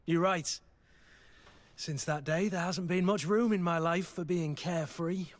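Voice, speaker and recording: Game role: battle-worn mercenary, loyal and brooding, voice pitched a bit high. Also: male voice, a little slow. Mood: wistful. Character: gruff, battle-worn male mercenary master of arms, guilt-ridden, loyal. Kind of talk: video game dialogue acting.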